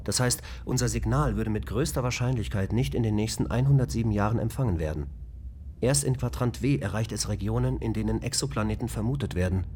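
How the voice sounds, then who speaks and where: An actor playing a spaceship's onboard computer that reports numbers in an even, factual way. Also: male voice, lowish voice, brisk, a little hiss or hum, natural voice. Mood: calm. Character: calm male onboard ship computer.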